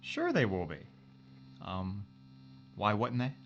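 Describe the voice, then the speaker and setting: A man's voice: lowish voice, huge pitch swings, takes it slowly, slight background noise, exaggerated voice. Man acting folksy, grumbling space engineer, lo-fi. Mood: animated, joking. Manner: casual, wisecracking, grumbling. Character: folksy, world-weary male spaceship engineer, joking. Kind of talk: science fiction audio drama acting.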